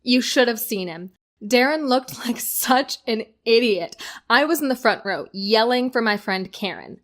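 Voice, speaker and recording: A woman's voice: on the high side, lively intonation, measured pace, fairly bright tone. Woman acting a wry, outspoken young wife. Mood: amused storytelling. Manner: teasing, conversational. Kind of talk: audio drama acting.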